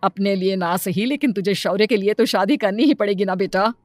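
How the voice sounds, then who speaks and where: A woman's voice: medium pitch, brisk, darkish tone, no room sound, faint music behind, close to an everyday voice. A woman voicing an insistent, persuasive elderly mother and grandmother in a cartoon story.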